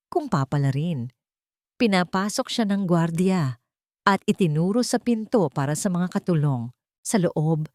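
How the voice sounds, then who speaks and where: A woman's voice: fairly low pitch, a little mellow, no echo, studio quality. A woman reading a scripted romantic drama as a storyteller.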